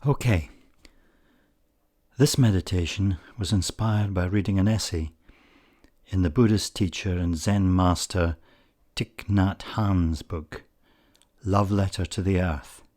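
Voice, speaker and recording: A man leading a guided meditation in a calm, measured way with frequent pauses, his husky voice softening at the ends of phrases. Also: sits low. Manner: calm, measured, pausing often. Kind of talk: slow guided meditation narration.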